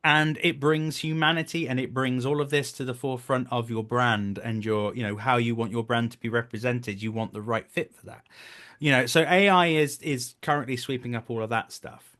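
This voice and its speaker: A man's voice: medium pitch, fairly even intonation, moderate pace, fairly bright tone. Earnest, emphatic man in conversation. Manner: earnest, emphatic, repeats phrases for stress. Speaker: guest, voice actor. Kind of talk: casual interview conversation.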